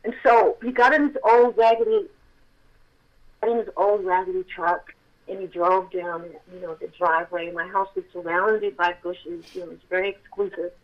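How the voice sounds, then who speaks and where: An actress with a childlike voice telling a personal story with feeling, at times close to shouting. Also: female voice, lowish voice, moderate pace, muffled recording. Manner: animated, swells to near shouting at intense moments. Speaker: actress telling her testimony.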